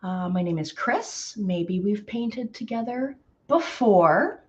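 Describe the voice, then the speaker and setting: A slightly hoarse woman hosting a live painting tutorial, chatty and greeting viewers by name with lots of ums. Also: mid-range voice, expressive, takes it slowly, lo-fi sound, background noise. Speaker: painting instructor.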